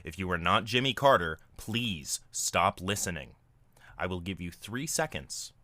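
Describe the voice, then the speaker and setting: A man's voice: sits low, very animated, measured pace, pushed and bright. A man hosting a story podcast, delivering a mock-solemn scripted introduction. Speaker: podcast host.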